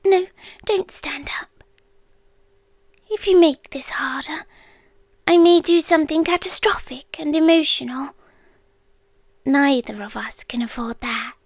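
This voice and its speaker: A British woman playing an ancient, lovelorn world-ending being in an ASMR roleplay, speaking in a soft, breathy, intimate whisper. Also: high voice, dry recording. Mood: pleading, resigned. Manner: slow, hushed, intimate, with pauses. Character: ancient female apocalypse entity, lovelorn, tender and melancholy. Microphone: close microphone in a dry space.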